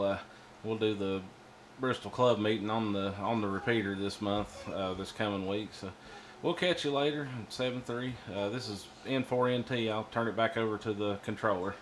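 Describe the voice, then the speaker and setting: Low-voiced man, ham radio operator, casual sign-off talk, faint static. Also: a bit bright. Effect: amateur radio transmission.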